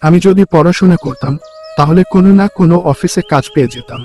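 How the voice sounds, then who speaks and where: Man playing a high-pitched, earnest young labourer. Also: quick pace, darkish tone, soft background music, natural voice. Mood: worried. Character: poor young male day labourer, dutiful, worried. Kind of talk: Bengali cartoon story dialogue.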